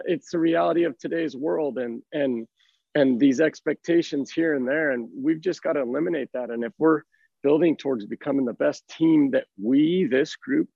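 A head coach who is losing his voice, speaking in a raspy tone and laughing easily between thoughts. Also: on the high side, dry recording, lo-fi sound. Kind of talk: phone interview.

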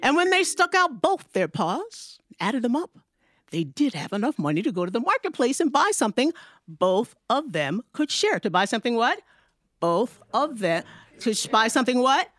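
A woman telling a story to children in an animated, rhythmic way, drawing out words with playful emphasis. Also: a little high, normal speed, faint music behind.

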